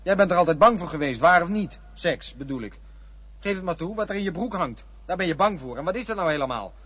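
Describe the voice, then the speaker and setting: A man's voice: high-pitched, lively intonation, fast talker, fairly bright tone, breathy edge, full character voice. A man playing a restless, wistful lover. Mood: taunting. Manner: wistful, then bitter and accusing. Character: restless adult man chasing love and bodies. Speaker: lover recalling past affairs. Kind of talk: radio play acting. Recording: dull lo-fi radio play.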